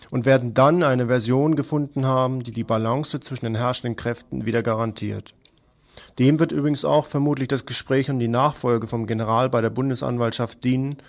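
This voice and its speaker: A man's voice: medium pitch, vocal fry, darkish tone. A man presenting scripted political news commentary on the radio.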